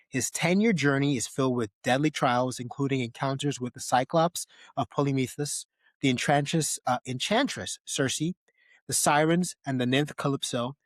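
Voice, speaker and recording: A man's voice: medium pitch, dry recording. A man co-hosts a casual movie podcast in a mid-range voice. He leans on key phrases, repeating them for emphasis. The sound is dry, with very little room in it. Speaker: podcast host. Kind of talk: casual film discussion podcast.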